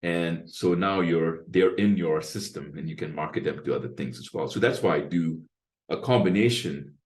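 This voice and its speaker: A man in his sixties with a deep bass voice, talking at length as an interview guest and explaining his approach point by point. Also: moderate pace. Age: 60s. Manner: talkative, explains with lists and asides. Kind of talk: casual interview talk.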